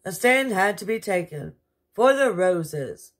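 Woman acting a storybook king, earnest, fretful, theatrical, husky, unhurried. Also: medium pitch, animated, pitched above the performer's own voice. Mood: reassuring.

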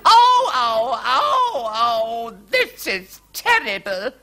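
A storyteller voicing a shrill, frantic, demanding queen in a storybook tale. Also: wildly swooping pitch, drawn-out words, sharp tone, soft background music, exaggerated voice. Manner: wailing, high and shrill.

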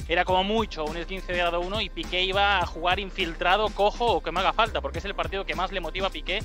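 A man's voice: high voice, steady tone, fast talker, bright, forceful tone, soft background music. A man in his thirties giving a live sports report outdoors into a handheld microphone. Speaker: field reporter. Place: outdoors in a city square at night.

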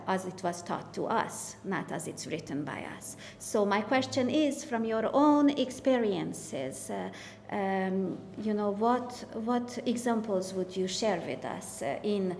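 A young woman moderating a panel discussion, asking questions with many "uh" fillers and a voice that trails off quietly. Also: mid-range voice, slow pace, gravelly. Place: art gallery room. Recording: reverberant hall, faint noise.

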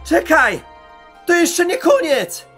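A curious, impulsive young elf paladin, acted by a man, speaks earnestly in a very animated voice. Music plays clearly behind him in this fantasy radio play. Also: very high voice, slow, exaggerated voice. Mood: agitated, questioning. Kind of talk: Polish fantasy audio drama.

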